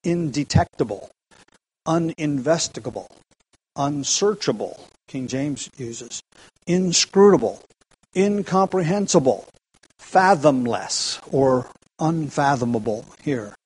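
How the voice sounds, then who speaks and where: A man's voice: on the high side, animated, slow, darkish tone, breathy edge, poor audio. A man preaching an expository sermon, earnest and emphatic, often restarting phrases.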